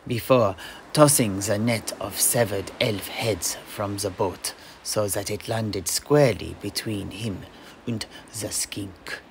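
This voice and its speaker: A man telling a tale in character for a fantasy audio play, in dramatic, measured phrases. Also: fairly low pitch, slight background noise. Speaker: host, storyteller.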